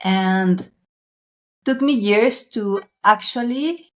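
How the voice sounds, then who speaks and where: A woman hosting a podcast interview, speaking in a measured, soft-spoken way. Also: medium pitch. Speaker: podcast host.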